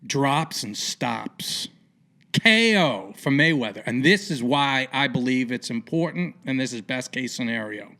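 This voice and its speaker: A man's voice: mid-range voice, lively intonation, unhurried, pushed and bright. A man hosting a sports podcast, asking rambling, opinionated questions in an informal interview style. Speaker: podcast host interviewing a guest.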